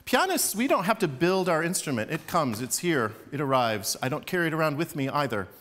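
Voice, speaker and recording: A middle-aged man, an opera professor, giving a relaxed, chatty public lecture from a concert hall stage, with fillers and small laughs. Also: a little high, a little fast.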